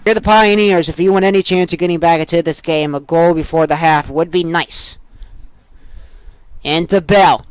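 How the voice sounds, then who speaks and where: A young man doing excitable live play-by-play sports commentary as a student sportscaster. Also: fairly high pitch, normal speed, smooth, no echo. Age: young adult. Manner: excitable, bursting into shouts on big plays.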